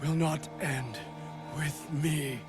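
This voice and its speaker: A man playing a bitter, defiant rebel warrior in a video game, delivering dramatic, halting phrases. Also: a little high, fairly even intonation, music in the background. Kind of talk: cinematic video game acting.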